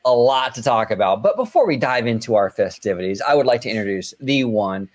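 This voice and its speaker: A man with an average-pitched voice hosts a casual podcast. He talks in a brash, loose way, swears freely and circles back over the same phrases while he riffs. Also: expressive, a little fast. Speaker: podcast host. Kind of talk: casual chat.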